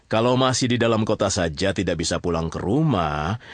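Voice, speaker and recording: Male narrator, low register, dry recording, chatty storytelling that keeps checking in with the listener. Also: animated, a little fast, dry recording. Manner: colloquial, checks in with listeners often. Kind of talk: conversational storytelling in an audio drama.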